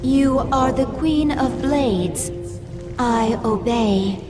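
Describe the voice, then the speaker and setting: Subservient female alien creature, slow formal delivery, distant sound, music behind, game cinematic. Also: high voice. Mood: obedient, solemn. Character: obedient female alien brood servant, solemn and reverent. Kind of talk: video game cinematic acting.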